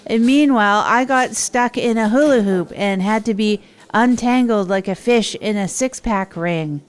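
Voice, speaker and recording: A woman's voice: medium pitch, unhurried, natural voice. A woman playing a hapless amateur comedian, telling stories in a rambling, earnest, self-deprecating way for an audio drama. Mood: eager, nervous performing. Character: Tog, hapless adult storyteller and failed stand-up comedian. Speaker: narrator and radio host. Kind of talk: improvised comic monologue in an audio drama.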